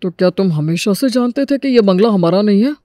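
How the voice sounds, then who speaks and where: An exasperated male landlord played by an actor in a comic cartoon story. His voice is high for a man, fed up and pleading for peace. The recording is dry and close. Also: lively intonation, fairly quick, mellow, no echo. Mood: anxious. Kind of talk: Hindi comic story dramatization.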